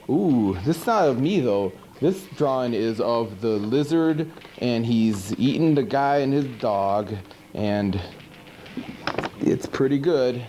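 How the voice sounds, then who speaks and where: A man playing a goofy, good-natured monster who hosts a horror movie show, rambling casually and hesitantly with deadpan asides. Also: close to an everyday voice. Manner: rambling, hesitant, laid-back deadpan asides with pitch swoops. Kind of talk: casual comic hosting monologue. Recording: dull noisy 1980s VHS tape.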